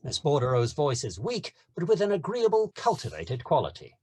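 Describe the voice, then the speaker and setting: A man's voice: a little high, wildly swooping pitch, moderate pace, a little mellow, husky. A man reading stage directions as narrator in a scripted screenplay table read.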